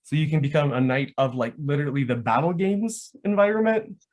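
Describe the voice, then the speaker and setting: A man's voice: a little high, a little fast, darkish tone. Rambling American man in casual chat. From Florida, United States. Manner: rambling, filler-heavy with frequent "like". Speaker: guest, live action roleplay organizer.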